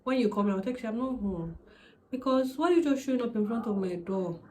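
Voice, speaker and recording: A young woman in her twenties, a native Yoruba speaker with a Nigerian accent, chatting casually and candidly to camera with quick asides. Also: a little high, animated, darkish tone, a little hiss or hum. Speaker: vlogger. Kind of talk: casual sit-down advice chat.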